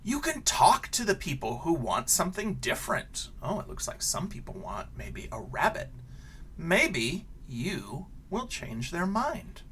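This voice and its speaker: Slow-paced man reading to children, swooping pitch. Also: a little hiss or hum. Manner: expressive, simple questioning delivery aimed at young children. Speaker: teacher reading a picture book aloud. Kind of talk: children's picture book read-aloud with casual asides.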